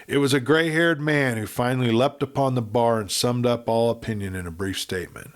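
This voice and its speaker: A man reading a western novel aloud in a measured, dramatic storytelling manner. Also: mid-range voice. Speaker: audiobook reader. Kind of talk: scripted western storybook narration.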